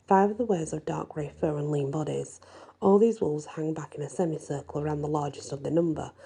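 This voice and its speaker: A woman narrating a first-person fantasy story in an expressive, deliberate storytelling style. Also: low voice, vocal fry, husky. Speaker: story reader.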